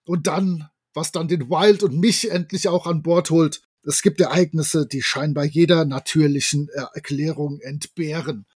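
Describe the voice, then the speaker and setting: A man with a distinctly high-pitched voice talks as a podcast co-host. He retells and discusses a story in a casual, enthusiastic and appreciative way. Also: fairly even intonation, unhurried, hardly any pauses, darkish tone, slightly rough.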